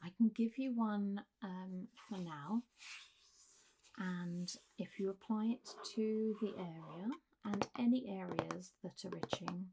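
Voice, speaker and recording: A woman speaks very slowly in a mellow, relaxed voice that slips between soft speech and whisper. She plays a gentle, reassuring clinic doctor in an ASMR roleplay. The close recording carries a faint background hiss. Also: medium pitch, vocal fry, poor audio. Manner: gentle, reassuring, unhurried doctor's bedside talk.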